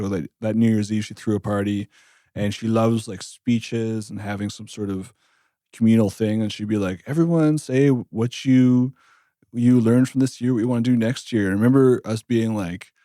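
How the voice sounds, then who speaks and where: A man in his thirties, a jazz bassist, chatting in an interview with a loose, rambling storytelling manner. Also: dark tone, no echo, clean studio sound. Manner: rambling storyteller, frequent "like" and restarts. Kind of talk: casual interview chat.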